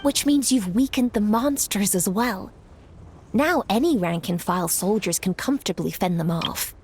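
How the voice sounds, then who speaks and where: A woman's voice: medium pitch, animated, moderate pace, darkish tone, a little hiss or hum, lightly acted. A woman playing a composed, encouraging military officer in a video game, speaking formally and articulately. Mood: impressed. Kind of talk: video game dialogue acting.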